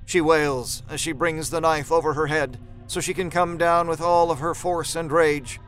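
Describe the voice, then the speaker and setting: A man's voice: on the high side, fairly even intonation, moderate pace, fairly bright tone, faint music behind. A man narrating a horror story from a script.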